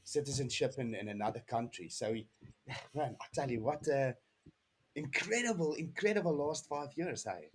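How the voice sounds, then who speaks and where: South African man, 30s, husky voice; podcast guest, earnest and rambling, restarts often. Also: medium pitch, lively intonation, normal speed. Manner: rambling, earnest, with many restarts and "you know". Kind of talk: casual interview chat.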